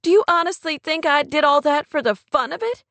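A woman narrator acts out a distraught young woman from a romance audiobook. Her voice is pitched very high and sounds tearful and sharp. She pushes and demands with sarcastic, near-hysterical urgency, recorded dry. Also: brisk, dry recording. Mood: exasperated. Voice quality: trembling, tearful.

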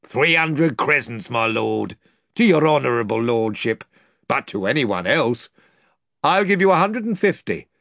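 A man's voice: medium pitch, expressive, measured pace, gravelly, a bit bright, dry recording, studio quality. Man doing a fawning pirate slaver. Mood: wheedling. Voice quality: oily, wheedling, common speech. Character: wheedling, fawning male pirate slave dealer.

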